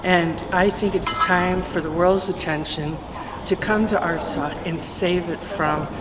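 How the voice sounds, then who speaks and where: Earnest woman with a lowish voice. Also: fairly even intonation, unhurried, noisy background. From United States. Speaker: rally participant. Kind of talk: earnest appeal to camera. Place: outdoors in a city.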